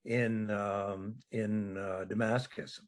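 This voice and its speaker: A man's voice: on the low side, flat delivery, drawn-out words. A man speaking as an interview guest, giving conversational political analysis.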